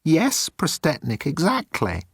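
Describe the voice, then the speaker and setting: A squeaky young alien officer, timid and eternally flustered. The pitch sits far above a normal male voice and swoops about as he pleads anxiously in a sci-fi audiobook, recorded dry. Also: wildly swooping pitch, measured pace, creaky, husky, no echo, heavily acted. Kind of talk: audiobook character acting.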